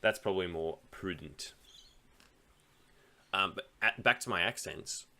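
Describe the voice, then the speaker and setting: A man's voice: sits low, measured pace, bright, forceful tone. An Australian man talking casually while playing online chess, rambling and thinking aloud, often laughing. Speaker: chess streamer.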